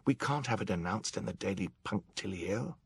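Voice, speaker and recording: A man's voice: mid-range voice, lively intonation, moderate pace, no echo, voice pushed up, lightly acted. Man playing an earnest, urgent storybook boy. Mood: hushed. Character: earnest, worried boy, sibling of two sisters.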